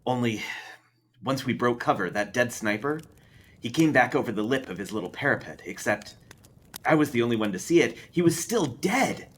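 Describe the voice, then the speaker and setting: A man acts out a haunted war veteran in a horror story, clipped and intense. His pitch rises high above a low storyteller's register and moves a great deal. Noise sits clearly in the background. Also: animated, moderate pace, a bit bright, natural voice. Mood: haunted, urgent. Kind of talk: audiobook-style character voice in a horror story.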